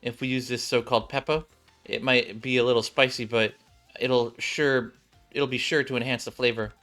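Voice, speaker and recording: A man's voice: mid-range voice, fairly even intonation, normal speed, fairly bright tone, faint music behind, lightly acted. A man voicing a confident, haughty young female maid cook with a matter-of-fact, dismissive manner in a game story. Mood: dismissive, practical.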